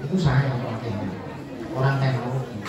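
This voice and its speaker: A man's voice: medium pitch, moderate pace. An older man with a Javanese accent, a community elder answering students' questions in a rambling, folksy way. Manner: rambling, folksy, slips into Javanese, counts points off. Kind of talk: informal explanatory talk to students. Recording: echoey hall, far from mic, dull sound, crowd.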